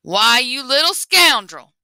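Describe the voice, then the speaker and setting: A hulking, shaggy storybook animal who growls and grumbles everything he says, as a woman puts him on. The voice stays bright and clean, close up with a dry sound. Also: medium pitch, moderate pace, dry recording. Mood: grumbling. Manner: gruff, grumbling, blunt.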